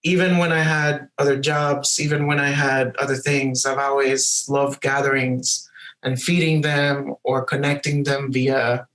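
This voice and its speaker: A man's voice: mid-range voice, flat delivery, measured pace, a bit bright, poor audio. A man from Puerto Rico who runs a restaurant, chatting casually in an interview and slipping in Spanish phrases. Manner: friendly, slips into Spanish phrases. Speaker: guest, restaurant owner.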